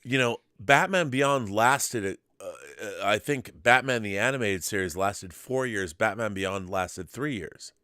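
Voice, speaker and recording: A man's voice: lowish voice, measured pace, few pauses, pushed and bright, dry recording, clean studio sound. A man co-hosting a casual fan debate, speaking emphatically and repeating words for stress. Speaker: co-host of a pop culture discussion show.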